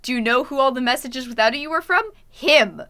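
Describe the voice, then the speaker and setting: A young Canadian woman chats her way through a gaming livestream, sometimes laughing and sometimes reading her own journal entries aloud. Her voice is bright and very clean, sitting a little high. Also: lively intonation, fairly quick. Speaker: streamer. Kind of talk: casual livestream chat while gaming.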